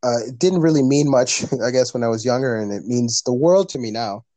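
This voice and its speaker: A man's voice: medium pitch, a little fast, dark tone, dry recording. A man, talking earnestly as a podcast guest in a rambling, casual way with many fillers. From Canada. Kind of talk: casual conversation.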